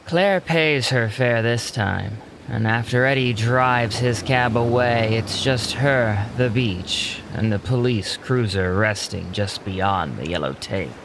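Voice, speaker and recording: A man narrating an audio drama in a deliberate, suspenseful scripted storytelling style. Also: medium pitch, background noise.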